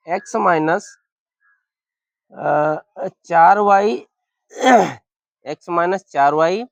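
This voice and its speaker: Hoarse Hindi maths teacher checking in. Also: male voice, fairly high pitch, no room sound, muffled recording. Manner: keeps asking "clear?" and "any problem?". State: sore throat. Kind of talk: blackboard maths lesson.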